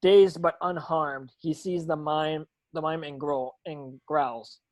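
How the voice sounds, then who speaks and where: A man's voice: a little high, steady tone, slow, darkish tone. A man narrating stage directions from a script at a table read, sometimes tripping over words.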